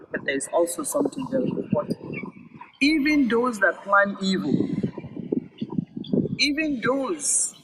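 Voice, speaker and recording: A man with a high-pitched voice tells a story aloud. His delivery is very lively in pitch, with deliberate, emphatic phrasing. The recording is clearly noisy, with clicks. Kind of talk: oral storytelling read-aloud. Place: virtual event recording.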